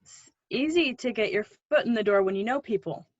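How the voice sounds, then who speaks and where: Woman acting as older woman, high voice, protective, evasive, conversational play reading, dry lo-fi sound. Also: animated, brisk, no room sound. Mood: probing, dry. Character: older guarded woman, protective of a young actor.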